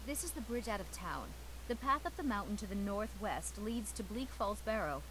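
A village woman from a fantasy video game, played by an actress, speaking at a middle pitch. She is matter-of-fact and lightly teasing, like a fond but exasperated sister. A faint ambience sits behind her scripted lines. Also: lively intonation, normal speed, noisy background, natural voice.

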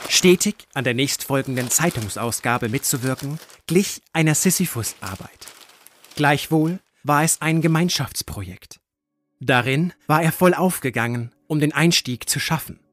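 A man reading scripted literary narration as the narrator of an audio story. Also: mid-range voice.

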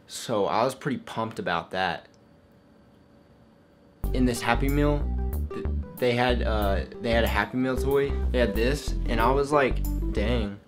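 A man's voice: mid-range voice, measured pace, pauses often, music in the background. An eighteen-year-old man vlogging in his bedroom, talking in a goofy, boastful, rambling way. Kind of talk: comedy vlog. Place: bedroom with gaming setup.

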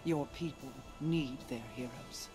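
A man voicing a regal, solemn leader delivering a formal, measured message in a video game. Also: medium pitch, lightly acted. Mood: solemn, resolute. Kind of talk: video game dialogue acting. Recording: music behind.

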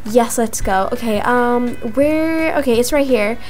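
A woman's voice: fairly high pitch, measured pace, a little mellow, close to an everyday voice. A young woman playing a chatty teenage schoolgirl in a casual voiced video game roleplay. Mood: upbeat, casual. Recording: music behind the voice. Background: background music.

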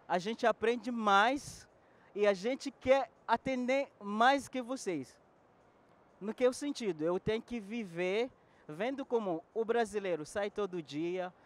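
French-native man with a high voice, earnest panel guest on a handheld mic. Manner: earnest, searching for words. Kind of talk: casual talk at a public panel. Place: large indoor event hall.

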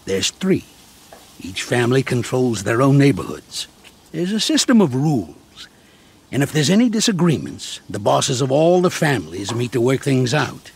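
An actor playing a shrewd, fatherly older American mafia consigliere with a gravelly, streetwise delivery in a video game. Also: mid-range voice, big pitch swings, moderate pace, full character voice. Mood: patient, explaining. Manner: streetwise, coarse, patiently explaining. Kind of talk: game cutscene acting.

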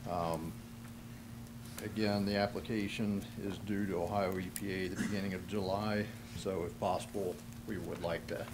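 A middle-aged man with a fairly low voice explains things formally as a city official. He hesitates as he goes, and the podium recording has clear background noise. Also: little pitch movement, normal speed. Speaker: city official presenting to council. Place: large council chamber. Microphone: podium microphone on stand. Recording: noisy council chamber room recording.

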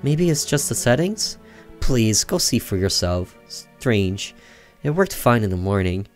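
A man's voice: fairly low pitch, very animated, measured pace, faint music behind. A man voicing a young boy in a horror game, innocently pleading as he fibs to his mother.

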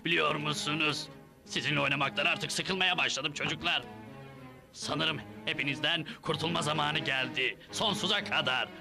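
A man's voice: a little high, fairly even intonation, moderate pace, vocal fry, music in the background. A man voicing a gloating, cruel old supervillain in a dubbed cartoon, taunting and theatrical. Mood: mocking. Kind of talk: dubbed cartoon villain acting.

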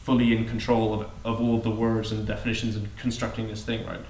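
A man's voice: fairly low pitch, little pitch movement, fast, a little mellow. Roomy, distant man leading a workshop. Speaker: workshop leader. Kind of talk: addressing a group. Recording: echoey room picked up from a distance.

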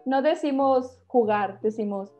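A woman's voice: a little high, a little slow, lo-fi sound, soft background music. A young woman with a Sinaloan Mexican accent, animatedly quizzing a friend on local slang over a video call. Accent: Sinaloan Mexican Spanish. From Los Mochis, Sinaloa, Mexico. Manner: animated, laughing, exclaiming. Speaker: guest quizzing the host on slang. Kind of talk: casual chat on a video call.

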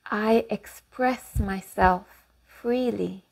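A woman guides a meditation at a slow pace, leaving long pauses between phrases. Her pitch sits in the middle range for a woman, and her instructions and affirmations are calm and unhurried. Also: creaky, poor audio.

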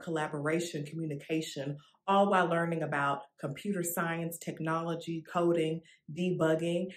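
A young woman, host of the channel, reading a children's picture book aloud in an expressive, animated way. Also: on the low side, slightly rough. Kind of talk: read-aloud storytelling. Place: indoor studio with plain orange backdrop.

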